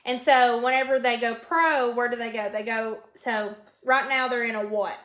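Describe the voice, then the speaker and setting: A woman teacher reading a storybook aloud in an instructional way, pausing to put questions to her pupil and repeat answers. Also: a little high, fairly even intonation, fairly bright tone. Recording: echoey room.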